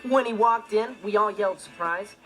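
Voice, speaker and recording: A man's voice: high-pitched, moderate pace, a bit bright, poor audio. A mischievous teenage stepbrother in a sitcom. He tells things in a casual, dry and smug way, laid-back throughout. Music plays behind the voice. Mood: amused. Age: teenager to young adult. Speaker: sitcom lead. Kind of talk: sitcom monologue to audience.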